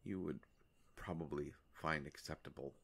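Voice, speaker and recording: A man voicing an ancient male vampire in an intimate roleplay monologue, courtly and melancholy, with formal, halting, hushed phrasing. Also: extremely low pitch, fairly even intonation, creaky, slightly rough, heavily acted. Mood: wistful, solemn.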